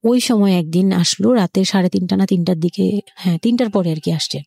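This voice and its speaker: A Bangladeshi woman as an interview guest, telling a personal story conversationally and candidly, with halting self-corrections. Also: medium pitch, vocal fry, mellow, slightly rough, dry recording, studio quality.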